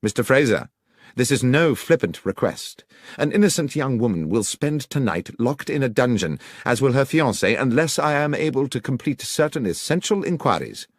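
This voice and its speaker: A commanding gentleman detective performed by a man from a mystery storybook, courteous yet brisk. His formal, precise speech rises and falls with great animation in a dry, close recording. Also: mid-range voice, sing-song, no echo, pitched above the performer's own voice. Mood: urgent.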